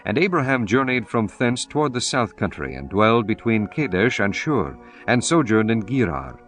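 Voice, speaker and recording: Male narrator, deepish voice, solemn scripture reading, formal, expressive intonation, music underneath. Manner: formal, measured archaic reading. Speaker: audiobook narrator.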